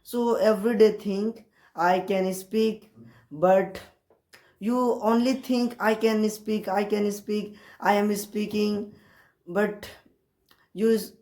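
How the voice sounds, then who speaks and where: A woman with an Indian accent and Hindi as her native language, talking casually and haltingly in learner's English, repeating herself to encourage viewers. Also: mid-range voice, steady tone, dark tone. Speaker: English-learning video creator. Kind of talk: casual motivational talk to camera. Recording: roomy, echoey sound.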